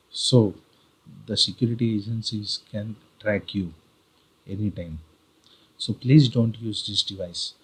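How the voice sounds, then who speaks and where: A man with an Indian accent narrates a tutorial. His voice sits on the low side and has a husky grain. He speaks deliberately, restating key points like a careful instructor. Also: a bit bright, low-quality recording. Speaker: video guide presenter.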